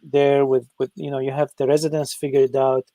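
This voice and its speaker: A man hosting an interview, asking questions in a halting way with stacked repeated starts. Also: mid-range voice, flat delivery, warm tone, dry recording. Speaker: host.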